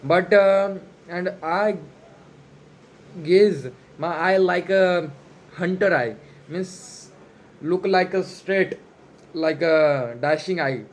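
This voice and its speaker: An Indian man with a Marathi mother tongue delivers an off-the-cuff motivational talk for his vlog. His voice sits high for a man. His English is non-native and halting, with phrases often repeated. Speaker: self-improvement challenge vlogger. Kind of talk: unscripted motivational monologue.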